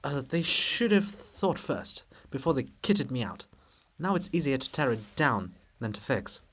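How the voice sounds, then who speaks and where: Seeking a male reader for a bombastic monument of a bearded historian in a stage farce: scornful, emphatic, very animated pitch. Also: measured pace. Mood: grumbling.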